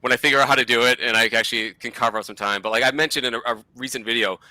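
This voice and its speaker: A man's voice: medium pitch, steady tone, very fast, pushed and bright, no echo, poor audio. A middle-aged man with a Boston accent, a guest chatting casually in an interview, slightly mumbly and rambling. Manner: mumbly, self-deprecating, rambling. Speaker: guest, comic collector YouTuber.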